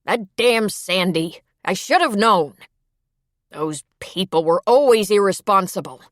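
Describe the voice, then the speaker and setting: A woman's voice: medium pitch, expressive, a little slow, dry recording, lightly acted. A woman voicing an irritable older woman in pain, dry, curt and complaining, in an audiobook. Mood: irritated, complaining. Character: irritable, pained older woman, weary and dismissive. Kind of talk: audiobook character dialogue.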